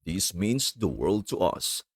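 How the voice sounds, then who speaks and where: A man with a low, gravelly voice narrates a tech tutorial step by step. His tone is polite and formal, and his pitch rises and falls a lot. The recording is dry and close. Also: very animated, takes it slowly, no echo.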